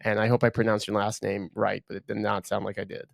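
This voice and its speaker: A man's voice: lowish voice, level tone, fast talker, gravelly, dry recording, studio quality. A man hosting a podcast, enthusiastic and casual, repeating words and using lots of fillers as he asks questions. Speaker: podcast host. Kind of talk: casual interview talk.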